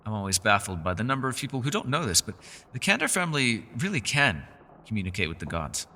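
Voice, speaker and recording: A man's voice: lowish voice, sing-song, fast, bright, forceful tone, in a large hall, heavily acted. A man playing a mysterious, scholarly elf in a fantasy roleplay, with a refined, theatrical and teasing manner. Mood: musing, wistful. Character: mysterious, scholarly male elf, playful yet guarded. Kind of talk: fantasy ASMR roleplay, scripted character acting.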